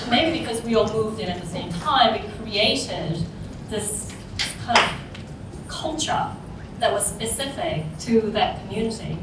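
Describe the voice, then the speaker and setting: A woman from South Korea, around her thirties, giving an informal, somewhat halting conference talk built on personal storytelling. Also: mid-range voice. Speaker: conference speaker, social entrepreneur. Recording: distant, very echoey room with clear noise.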